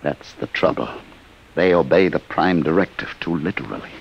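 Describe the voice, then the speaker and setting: An actor playing a grave, remorseful inventor, speaking deliberately in a 1950s radio drama. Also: male voice, extremely low pitch, animated, creaky, full character voice. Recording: hissy, dull vintage radio recording.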